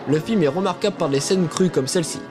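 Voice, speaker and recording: A man's voice: on the high side, quick pace, over music. A man hosting a film review show, reading scripted narration with casual, joking asides.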